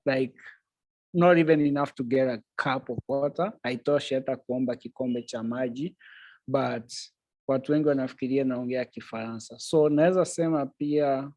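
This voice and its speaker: A man of ordinary pitch teaches Swahili on a video call, saying each point in Swahili and then again in English. He is patient, talks slowly, and laughs easily. His voice is dry and close, with no room sound behind it. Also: a little mellow, dry recording.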